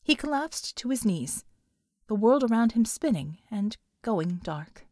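A woman's voice: on the high side, sing-song, measured pace, a little mellow, soft background music. A woman narrating a scripted dramatic action story as an audiobook reader.

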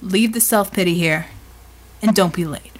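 A woman's voice: on the low side, normal speed, lightly acted. A woman narrator voicing a big, stern military man in an audiobook, blunt, matter-of-fact and unsentimental.

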